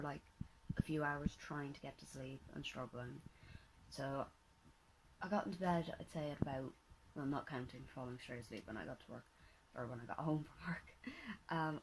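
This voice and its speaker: A tired, slightly hoarse young woman talking casually to her phone camera at home, rambling, correcting herself and sometimes dropping to a whisper. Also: low-pitched, background noise. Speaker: vlogger, master's student. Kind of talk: casual vlog talk to camera. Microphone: handheld phone at arm's length.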